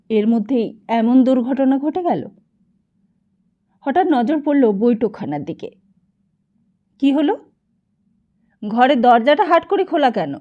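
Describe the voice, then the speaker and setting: A woman's voice: high-pitched, narrow, tinny audio. A woman narrating a novel expressively as an audiobook.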